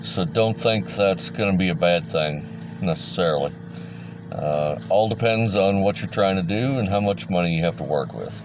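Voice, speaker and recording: A man's voice: deep voice, animated, normal speed, noisy background. A middle-aged man giving an informal product rundown as a hobbyist, in a plain-spoken, folksy, hesitant manner. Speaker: radio hobbyist host.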